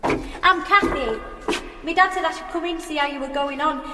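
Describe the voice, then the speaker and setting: A woman's voice: sits high, normal speed, noisy background, lightly acted. An actress playing a chatty, blunt and inquisitive country girl with a Yorkshire accent in a radio drama. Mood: chatty, curious. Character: forthright chatty country girl, stationmaster's daughter. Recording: echoey church hall, music behind.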